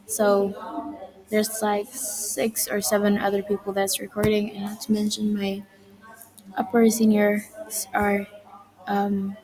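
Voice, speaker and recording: A woman's voice: mid-range voice, low-quality recording. A young woman casually walks through her portfolio, listing items and often correcting herself. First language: Indonesian. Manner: rambling listing with frequent self-corrections. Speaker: student presenting her portfolio. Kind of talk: casual presentation walkthrough.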